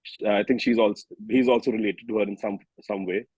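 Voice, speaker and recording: Low-voiced Indian man, slightly husky, chatting. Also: fairly even intonation, brisk, a little mellow, no room sound, rough recording. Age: early 30s. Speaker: singer-songwriter being interviewed. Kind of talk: casual interview chat.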